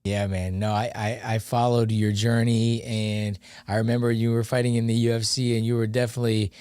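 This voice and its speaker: A man's voice: medium pitch, flat delivery, a little fast, a little mellow, smooth, dry recording, studio quality. A male interview host, enthusiastic and chatty, who often repeats and restarts his words. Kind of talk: casual interview.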